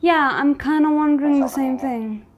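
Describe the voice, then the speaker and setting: Higher-voiced woman giving casual commentary. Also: normal speed, dull audio. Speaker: reaction video host.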